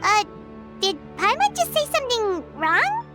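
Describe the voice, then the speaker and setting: A woman voicing a tiny, childlike fairy companion in a video game, chatty, excitable and cheeky. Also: very high-pitched, measured pace, faint music behind, exaggerated voice. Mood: curious, surprised. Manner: excitable, teasing, refers to self in third person. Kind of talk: video game character acting.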